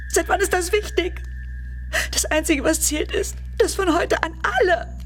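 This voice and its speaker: A woman's voice: very high-pitched, unhurried, a little hiss or hum. Battered, desperate woman in radio drama. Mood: bitter, defiant. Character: battered, desperate, bitter, seductive wife of an accused assassin. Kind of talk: German radio crime drama acting.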